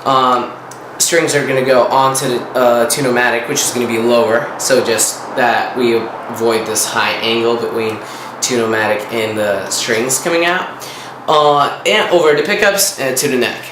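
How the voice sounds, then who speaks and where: A man from Russia with a Russian accent, his first language being Russian, casually explaining his guitar design in halting, filler-heavy speech. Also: mid-range voice, reverberant, noisy background. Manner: hesitant, many fillers and restarts. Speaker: guitar design contest entrant.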